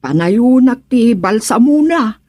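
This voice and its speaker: A woman's voice: on the high side, expressive, takes it slowly, dark tone, dry recording, clean studio sound. A woman acting in a radio drama comedy as a recently widowed village woman, exasperated and pleading, with an Ilocano accent.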